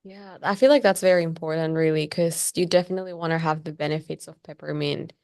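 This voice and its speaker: A woman interviewing a guest, asking questions and agreeing warmly with his points. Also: low voice, fairly even intonation, fast talker, no room sound, studio quality. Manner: agreeable, affirming.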